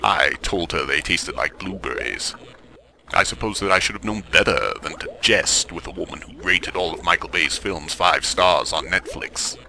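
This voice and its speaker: An actor playing a sinister, genteel mad doctor with pompous, formal diction in a horror radio parody. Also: male voice, deep voice, moderate pace, creaky, bright, forceful tone, slight background noise, heavily acted, low-quality recording. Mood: pompous, unruffled.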